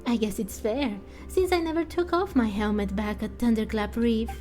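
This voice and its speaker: A woman's voice: a little high, moderate pace, dark tone, soft background music, natural voice. A woman playing a self-assured priestess warrior in a fantasy game, playful and teasing.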